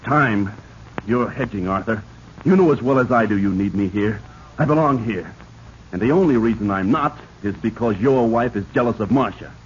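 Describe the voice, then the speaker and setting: A man's voice: on the low side, normal speed, close to an everyday voice. A man playing a resentful, scheming office climber turned killer in a 1940s radio drama, hard-edged and bitter. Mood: pushy, indignant. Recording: muffled, noisy 1940s radio recording.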